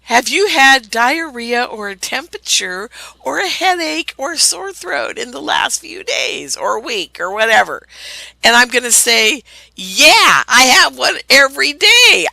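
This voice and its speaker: A hoarse woman host, losing her voice, delivers an exasperated, indignant rant to her listeners. Her tone is gravelly and fry-heavy, yet very bright and tense. The sound is dry and close. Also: high voice, big pitch swings, measured pace, dry recording, rough recording. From California. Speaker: radio show host. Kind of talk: ranting monologue to listeners.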